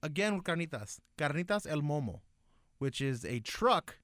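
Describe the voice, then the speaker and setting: A man's voice: a little high, big pitch swings, moderate pace, a bit bright, no echo, clean studio sound. A man co-hosting a casual food chat, talking in a laid-back, slangy way. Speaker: podcast co-host.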